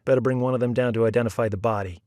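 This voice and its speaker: A man voicing an impatient male detective partner who talks briskly, in a story reading. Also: mid-range voice, steady tone, a little mellow, no echo, clean studio sound, close to an everyday voice.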